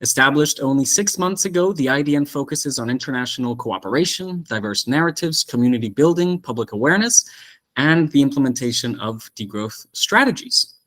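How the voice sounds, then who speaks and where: Guy from mexico city hosting a video call talk, medium pitched voice, effusive and welcoming. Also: expressive, a little fast, a bit bright. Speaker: host. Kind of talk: welcoming and introducing a speaker at an online discussion.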